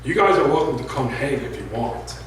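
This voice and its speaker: A man's voice: mid-range voice, expressive, fairly quick, a little hiss or hum, natural voice. A man reading the lines of an easygoing, friendly young woman, in nearly his own voice. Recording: echoey room from far off.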